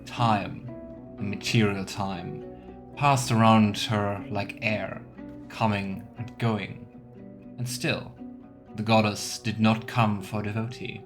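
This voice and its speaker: Man, lowish pitch, let's play commentator, reads game texts aloud, soft background music. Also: little pitch movement, slow.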